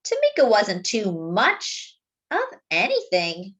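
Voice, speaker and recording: A woman reading a children's story aloud expressively, phrasing deliberately as if to young listeners. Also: medium pitch, huge pitch swings, dull audio. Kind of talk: expressive picture-book read-aloud with asides to young listeners.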